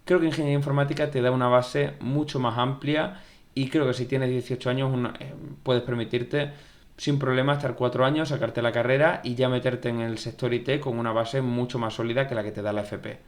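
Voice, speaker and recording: A man's voice: mid-range voice, steady tone, a little fast. Man giving casual study advice. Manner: conversational, repeats phrases for emphasis, acts out viewer questions. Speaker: YouTube tech educator. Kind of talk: casual advice talk to camera.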